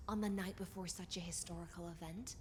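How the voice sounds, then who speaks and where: An actress playing a poised, teasing siren noblewoman in a fantasy game, speaking in a measured, formal and theatrical way. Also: lowish voice, fairly even intonation, slight background noise, close to an everyday voice. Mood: silky, poised. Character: poised, teasing siren noblewoman, loyal secret blade of an emperor. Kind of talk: scripted fantasy game voice acting. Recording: game music loud behind.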